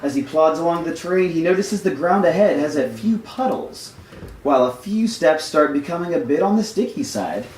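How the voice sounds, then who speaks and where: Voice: mid-range voice, lively intonation, moderate pace, a little mellow, a little hiss or hum. A comedy storyteller reading a scripted tale live in an amused, mock-formal storybook manner. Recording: echoey live room.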